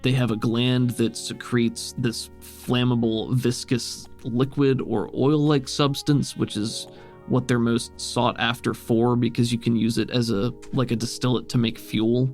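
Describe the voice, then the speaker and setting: A man runs a tabletop role-playing game as its game master, in a conversational way. His voice sits at a middle pitch. Plucked guitar music plays behind him. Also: little pitch movement, unhurried, darkish tone. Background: plucked guitar background music.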